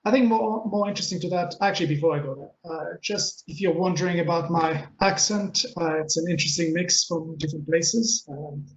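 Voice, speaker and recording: A man's voice: fairly high pitch, brisk, hardly any pauses. Israeli-accented man giving a webinar talk. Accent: Israeli, mixed with Texan, Scottish and London. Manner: earnest, many hesitations and fillers. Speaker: webinar speaker and coach. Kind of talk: presenting a pre-recorded webinar. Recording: dull lo-fi webinar audio, faint hiss.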